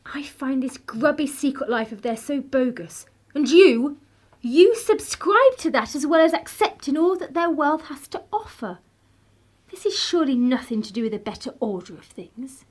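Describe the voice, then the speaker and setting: Radio play role: actress as a well-bred young lady, high-pitched, formal, sceptical and cutting. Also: animated, moderate pace. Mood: reproachful. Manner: pointed, sardonic, formal.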